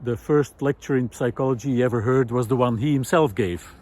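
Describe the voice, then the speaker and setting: A man giving an informal, reflective solo talk in a thoughtful, halting way, pausing deliberately for emphasis. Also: medium pitch, a little mellow. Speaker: host of a personal audio series.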